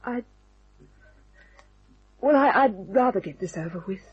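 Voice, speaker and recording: An actress playing a frightened, pleading young woman in an old-time radio mystery, breathy and husky, speaking haltingly. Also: mid-range voice, animated, muffled recording. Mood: tense, hesitant.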